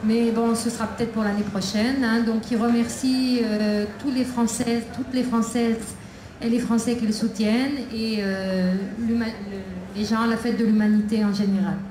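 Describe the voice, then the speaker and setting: A woman speaking at a festival debate about her imprisoned son, talking haltingly with many pauses and restarts. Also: on the high side, steady tone. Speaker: prisoner's mother, invited speaker. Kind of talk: public talk at a debate. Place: outdoor festival debate tent. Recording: echoey PA with crowd ambience.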